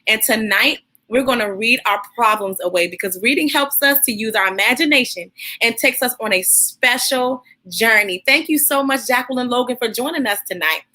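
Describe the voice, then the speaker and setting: Woman, higher-pitched, very bright forceful tone, animated, encouraging, children's read-aloud host. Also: normal speed.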